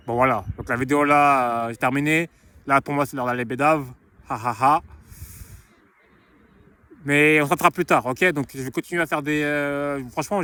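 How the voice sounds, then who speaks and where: A man's voice: medium pitch, a bit bright. Rambling slangy young Frenchman. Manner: slangy and rambling, many restarts and repeated phrases. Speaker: YouTuber promoting his book. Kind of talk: casual vlog monologue.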